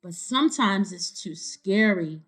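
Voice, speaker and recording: An earnest, anxious little schoolgirl from a storybook, as a woman reads her. She speaks slowly and expressively, with pitch that rises and falls a lot. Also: expressive, fairly bright tone, slightly rough, heavily acted. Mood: earnest, nervous.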